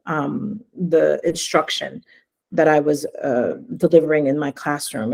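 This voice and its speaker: A woman's voice: deep voice, a little mellow. A middle-aged woman from New Jersey, presenting in a webinar as an education consultant, enthusiastic and full of "um" fillers. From New Jersey, USA. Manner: enthusiastic, uses many "um" fillers.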